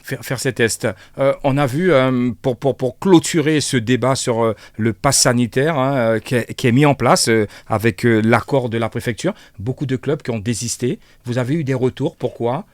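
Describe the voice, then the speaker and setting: A man's voice: mid-range voice, normal speed, hardly any pauses, dry recording, clean studio sound. A man hosting a live radio interview, asking wordy, hesitant questions that circle back on themselves. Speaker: radio host. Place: radio studio.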